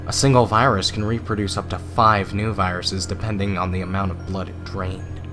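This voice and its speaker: A man voicing a brash, brave young creature fighter in an amateur animated dub, cocky and determined. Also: lowish voice, close to an everyday voice.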